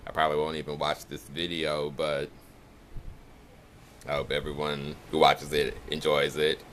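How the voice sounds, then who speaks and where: Deep, flat-voiced nasal man. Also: moderate pace, slight background noise. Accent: Chicago. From Chicago area. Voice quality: nasal, monotone. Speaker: vlogger. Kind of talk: casual accent challenge video.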